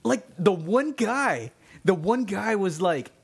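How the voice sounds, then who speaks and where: A man talking fast and excitedly as a guest author in a casual chat, laughing often. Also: high-pitched, gravelly, husky.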